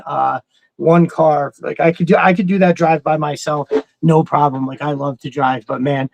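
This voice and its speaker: A man's voice: fairly high pitch, creaky, no echo, poor audio. A man around his thirties or forties co-hosting a fan show, talking casually in a rambling, enthusiastic way. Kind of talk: casual chat.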